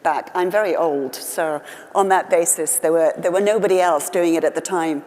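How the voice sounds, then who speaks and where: Lady, middle-aged, thoughtful, kinda low voice, big echoey conference hall. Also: moderate pace, darkish tone. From West Wales. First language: Welsh. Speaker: award honoree. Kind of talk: on-stage conversation.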